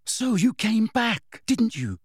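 A man narrator performs a pleading teenage boy wizard from a storybook, pitched up high. He is impatient and insistent, firing urgent questions with swooping intonation. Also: very animated, unhurried, dry recording, clean studio sound, exaggerated voice.

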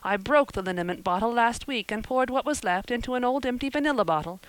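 A woman voicing a stern, practical older guardian in a storybook, with a brisk, blunt, scolding manner. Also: on the low side, very animated, fairly bright tone, no room sound, rough recording. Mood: exasperated.

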